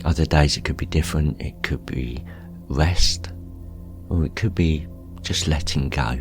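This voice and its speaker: Really deep slow guy doing a guided meditation, soft calm manner, pauses a lot. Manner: calm, gentle, unhurried with pauses. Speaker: meditation guide, show host. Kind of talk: guided meditation, gently spoken instruction. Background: music.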